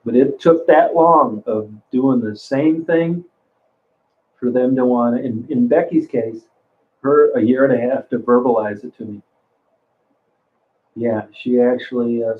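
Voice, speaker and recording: A man in his sixties chatting casually on a livestream, rambling, cursing freely and often restarting his sentences. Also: mid-range voice. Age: 60s. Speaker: livestream host reading chat. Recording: phone-like narrow sound.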